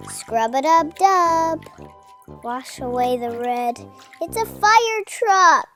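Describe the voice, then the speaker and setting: A woman narrating a scripted educational video for young children, in a simple, playful way with questions and exclamations. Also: high-pitched. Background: children's background music.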